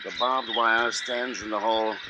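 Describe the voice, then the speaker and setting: An actor playing a grave, anxious family man, narrating solemnly in a measured 1950s radio drama style. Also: on the low side, steady tone, lo-fi sound, very noisy, close to an everyday voice. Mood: weary dread. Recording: 1950s radio recording with music behind.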